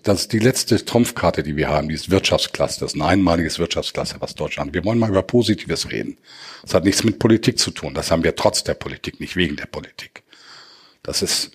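A man's voice: low-pitched, husky. A middle-aged man, arguing emphatically and rhetorically as an expert in a debate. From Hamburg, northern Germany. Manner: emphatic, rhetorical, deliberate phrasing. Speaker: economic expert, panel guest. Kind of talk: impassioned debate argument.

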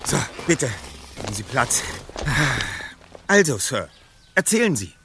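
A man's voice: medium pitch, big pitch swings, measured pace, noisy background. An actor playing a confident, courteous boy detective who leads his friends in an audio drama, speaking with polite assurance. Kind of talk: German audio drama acting.

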